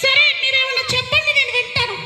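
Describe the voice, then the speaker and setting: Very high-pitched little girl puppet. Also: steady tone, fast talker. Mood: chatty, cheeky. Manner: childlike, exhorting, repeats questions and phrases. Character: cheeky, bossy little girl puppet preaching to children. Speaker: puppet character at a children's Bible school. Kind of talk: live puppet show preaching to children. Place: church children's event, live audience. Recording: echoey live PA, faint music and crowd.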